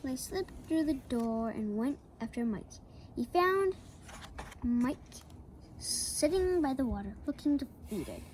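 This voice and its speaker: A woman reading a children's picture book aloud, slowly and with playful asides to the young listener. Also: high-pitched, lively intonation, a little mellow, slight background noise. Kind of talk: read-aloud storytelling for kids.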